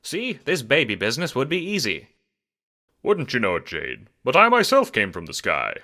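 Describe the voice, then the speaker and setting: A man's voice: medium pitch, huge pitch swings, moderate pace, sharp tone, exaggerated voice. A man voicing a jaunty, self-important globe-trotting scientist in an audio drama, with breezy theatrical delivery and old-fashioned exclamations. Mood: smug, fond.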